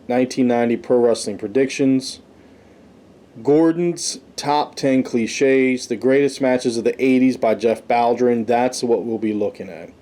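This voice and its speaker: A man's voice: mid-range voice, mellow. A man hosting a podcast with casual, offhand, unscripted commentary. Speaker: podcast host, wrestling fan reviewer.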